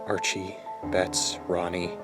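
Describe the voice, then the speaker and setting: Guy acting brooding teenage boy in audio drama, low voice, guilty halting confession, bit of music under. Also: completely flat delivery. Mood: resigned, remorseful. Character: guilt-ridden, sardonic teenage boy confessing a dark deed. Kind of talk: radio drama acting with first-person narration.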